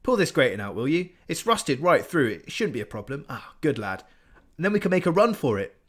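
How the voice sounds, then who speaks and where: Man doing a jittery sly talking cat. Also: very animated. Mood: anxious. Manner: quick, wheedling, higher pitched. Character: nervous, sly talking tomcat, male.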